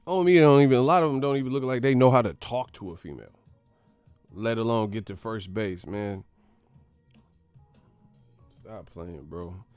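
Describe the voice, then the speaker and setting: A man's voice: lowish voice, moderate pace, pauses often, darkish tone, smooth, no echo, soft background music. A man hosting a boxing channel who rants in a slangy, profane way, laughing and mocking as he talks. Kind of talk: profane comedic vlog rant.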